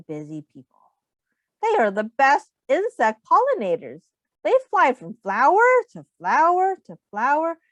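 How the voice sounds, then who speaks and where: A woman teacher reading a picture book aloud to young children, deliberate and expressive, pausing to put questions to her listeners. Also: on the high side, no room sound.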